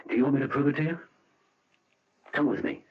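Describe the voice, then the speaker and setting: A man's voice: medium pitch, fast talker, slight background noise, close to an everyday voice. A middle-aged man playing an obsessive, bitter husband in a radio mystery drama, insistent and repeating himself for emphasis. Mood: insistent, accusing. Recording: muffled, dull old radio recording.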